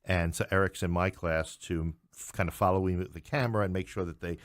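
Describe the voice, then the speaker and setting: Middle-aged man, low-pitched voice, dry close sound, conversational, animated storyteller, stop-start phrasing. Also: a little fast, no room sound. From New York City. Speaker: podcast guest, English professor. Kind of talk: conversational interview, anecdotes.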